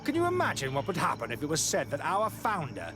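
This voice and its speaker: A haughty zealot priest, pitch swinging wildly. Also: male voice, wildly swooping pitch, quick pace, music in the background. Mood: righteous fervor. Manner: haughty, impassioned, declamatory. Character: scheming zealous male priest, indignant and self-righteous. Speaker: video game character actor. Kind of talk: dramatic game dialogue.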